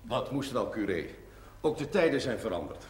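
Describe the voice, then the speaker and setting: A man's voice: mid-range voice, very animated, normal speed, darkish tone, lightly acted. Actor as urbane, witty clergyman, echoey room. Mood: dryly amused. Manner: dry, ironic, formal. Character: urbane clergyman, dryly witty, self-assured. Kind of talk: television period drama dialogue. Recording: noisy, echoey 1970s television audio.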